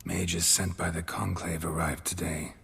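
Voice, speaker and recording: An actor playing a gruff, stoic monster hunter in a video game cutscene, terse and deadpan, with a gravelly voice. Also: male voice, measured pace, a little hiss or hum, full character voice. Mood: reading aloud, flat. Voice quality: gravelly, husky low voice.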